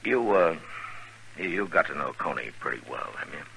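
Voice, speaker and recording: An actor playing a steady, laconic frontier marshal in 1950s radio drama, with measured, understated delivery and hesitant pauses. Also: male voice, rumbling low, vocal fry, exaggerated voice. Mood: probing, gentle. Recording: noisy vintage radio recording.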